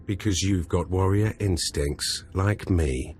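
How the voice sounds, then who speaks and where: Cocky, low-voiced spy officer, conspiratorial. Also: male voice, slow, darkish tone, a little hiss or hum. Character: brash male Imperial intelligence officer, scheming.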